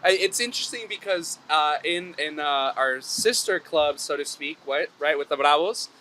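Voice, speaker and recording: Man, podcast host, upbeat, chatty, bright tone. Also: fairly high pitch, lively intonation, moderate pace, slight background noise. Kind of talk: casual interview hosting.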